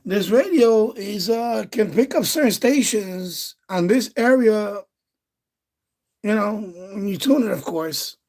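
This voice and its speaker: A man chatting casually and haltingly on a hobby live stream, muttering as he handles his gear. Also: high voice, animated, darkish tone.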